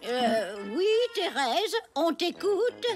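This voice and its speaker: Primary school teacher, cartoon, high-pitched, hugely animated, brisk, instructing. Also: female voice, soft background music, heavily acted. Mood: patient, dry. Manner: brisk, instructing, drawn-out announcements. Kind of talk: cartoon acting.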